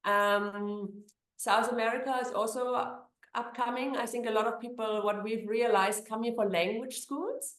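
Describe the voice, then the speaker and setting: A woman's voice: fairly high pitch, fairly even intonation, a little slow, a bit bright. German-accented woman in an echoey room. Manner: chatty, many fillers and restarts. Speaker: immigration attorney. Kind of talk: conversational interview answers.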